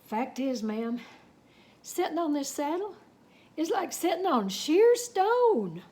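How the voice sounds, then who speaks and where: Woman reading as a polite, lively-pitched Texas cowboy. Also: slow pace, exaggerated voice. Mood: pained, sheepish. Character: polite, long-suffering young male Texas cowboy.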